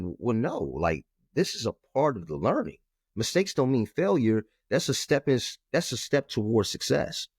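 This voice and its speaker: Brief: low, firm-toned male educator, emphatic repeats, dry close sound. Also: dry recording. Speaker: math educator and author. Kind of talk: conversational interview.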